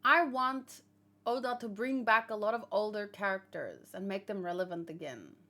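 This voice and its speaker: A woman gives casual live commentary in a mid-pitched voice. She talks to viewers in an informal way, musing as she goes and pausing to think things through. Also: sharp tone. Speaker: host of a manga read-along reaction show.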